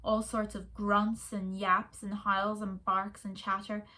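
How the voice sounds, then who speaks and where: A woman reading a children's story aloud in a soft, expressive way. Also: mid-range voice, slow pace.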